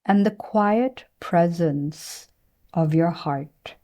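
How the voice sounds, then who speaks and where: Slow, very mellow woman guiding meditation. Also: lowish voice. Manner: gentle, soothing, unhurried instruction with pauses. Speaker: meditation and yoga teacher. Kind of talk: guided meditation.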